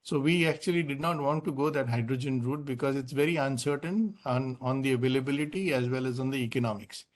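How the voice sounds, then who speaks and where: A man speaking as a company executive on an earnings call, explaining in unscripted answers with many pauses and repeated words. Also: mid-range voice.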